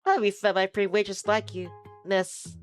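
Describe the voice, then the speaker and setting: A woman's voice: mid-range voice, level tone, fairly quick, soft background music, lightly acted. A woman voicing a laid-back, teasing male student footballer in a visual novel, talking in slangy, ribbing banter.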